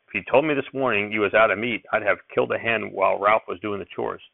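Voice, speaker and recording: Grumbling country uncle voiced by a male reader, blunt, low gravelly storybook voice, tinny band-limited audio. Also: steady tone, fast, no echo. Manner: rustic country speech, blunt. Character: grumbling, blunt farm uncle standing up for boy.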